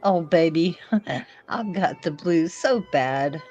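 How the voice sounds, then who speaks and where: A woman's voice: deep voice, expressive, slow pace, a little mellow, lo-fi sound. A woman reading the part of a disillusioned young female outlaw in love, with plaintive, folksy phrasing. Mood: blue, disillusioned. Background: faint music.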